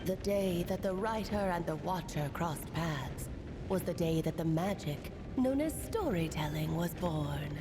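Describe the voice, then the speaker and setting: A theatrical storyteller mage. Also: female voice, on the low side, lively intonation, unhurried. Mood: grand. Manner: dramatic, storybook flourishes, teasing. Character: grand, theatrical female mage and storyteller, playful. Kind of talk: video game dialogue. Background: game music.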